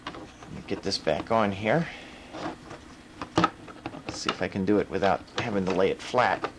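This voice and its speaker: An American man giving a casual, unscripted demonstration, explaining step by step in a halting way. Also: deep voice. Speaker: video presenter demonstrating a radio. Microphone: camera microphone. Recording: faint background noise, home camera recording.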